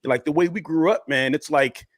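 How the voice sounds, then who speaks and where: A man's voice: a little high, normal speed, dry recording, studio quality. A man on a discussion panel giving reflective, conversational advice, listing points with emphasis and checking in as he goes.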